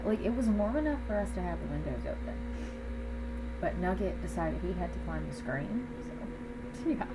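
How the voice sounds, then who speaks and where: A woman in her thirties, fighting off a cold, chatting and rambling casually while she films her day at home and outside. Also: lowish voice. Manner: chatty, rambling, laughs often. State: congested from a cold. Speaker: vlogger. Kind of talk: casual vlog chat. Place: at home, then outside by the front door. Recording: dull lo-fi camera audio with background noise.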